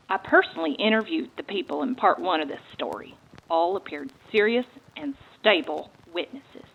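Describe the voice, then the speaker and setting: A woman's voice: mid-range voice, dull audio. A woman playing a folksy, earnest amateur detective and monster hunter with a Texan accent, in a dramatic reading of a memoir.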